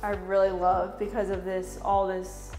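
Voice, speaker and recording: Young woman vlogger, upbeat house-tour chat, long reverb from bare rooms, soft music under. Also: mid-range voice, monotone, a little slow. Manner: chatty and upbeat, laughs often. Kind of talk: casual house tour narration. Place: large empty house, indoors. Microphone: camera mic from a few steps away.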